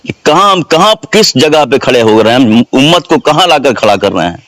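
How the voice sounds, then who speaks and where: A man's voice: mid-range voice, big pitch swings, a little fast, a bit bright, dry recording. A man on a recorded phone call, formally debating religion, deferential yet insistent, pressing his points with rhetorical questions. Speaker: caller questioning a mufti.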